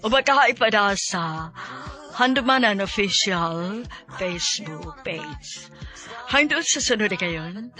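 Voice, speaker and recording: A man's voice: high voice, expressive, slow, pushed and bright. A man with a Cebuano accent announcing a scripted radio drama in an emphatic, declamatory way. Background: music.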